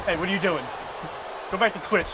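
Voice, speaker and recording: Playful man gamer, high voice, noisy hiss behind. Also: a little fast, a crowd in the background. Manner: playful, laughs a lot. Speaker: gaming stream participant. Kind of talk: casual group gaming chat.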